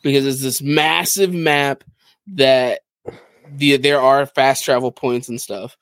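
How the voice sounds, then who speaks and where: A man with a medium-pitched voice co-hosts a casual gaming podcast. He explains things in an enthusiastic, conversational way. The sound is dry, with no room to it. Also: steady tone, takes it slowly, fairly bright tone, no room sound. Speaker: podcast co-host.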